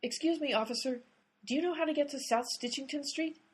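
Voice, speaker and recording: An actress in a radio comedy playing an exasperated female amateur sleuth who talks in a brisk, bossy way. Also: high voice, natural voice. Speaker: lead character, amateur sleuth. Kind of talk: comic radio play acting.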